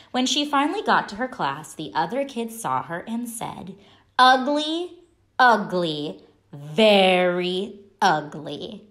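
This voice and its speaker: Animated, unhurried woman reading a children's story. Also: mid-range voice. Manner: expressive, deliberate, dramatic pauses. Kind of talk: children's picture book read-aloud.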